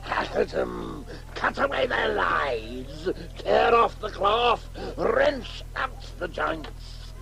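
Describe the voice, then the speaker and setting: An actor playing a brutal, menacing warlord spirit who relishes carnage in a radio drama. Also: male voice, sits high, sing-song, extremely slow, creaky, bright, forceful tone, soft background music, exaggerated voice. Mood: savage frenzy. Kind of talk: 1980s radio play acting.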